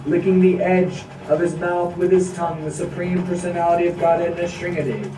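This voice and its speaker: A narrator of unclear sex reads a scripted devotional story in measured phrases. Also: mid-range voice, steady tone. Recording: echoey, distant, music behind.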